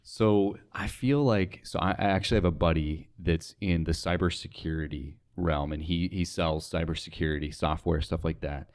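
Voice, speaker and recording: A man's voice: sits low, fairly even intonation, moderate pace, few pauses, darkish tone, clear, resonant tone. A young man in a casual podcast chat, speaking informally and wondering aloud. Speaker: podcast guest, radio sales associate.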